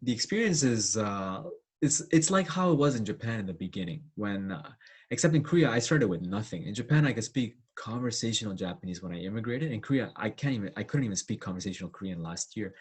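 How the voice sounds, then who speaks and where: Young guy, low husky voice, rambly chat with lots of uhs. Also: expressive, fast. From Canada. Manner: rambling, many restarts and fillers. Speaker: co-host. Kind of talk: casual discussion.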